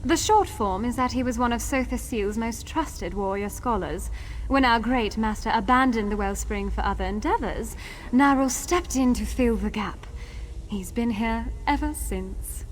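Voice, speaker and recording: A woman's voice: a little high, moderate pace, a little hiss or hum, close to an everyday voice, low-quality recording. A woman playing a wry, knowledgeable guide in a fantasy game, explaining things with respect. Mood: informative, respectful. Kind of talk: scripted game dialogue.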